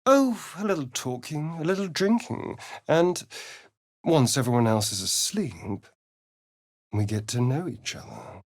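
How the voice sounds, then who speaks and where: An actor playing a sly, sardonic vampire rogue in a video game, with a theatrical, arch, drawling delivery. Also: male voice, mid-range voice, animated, a little slow. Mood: seductive.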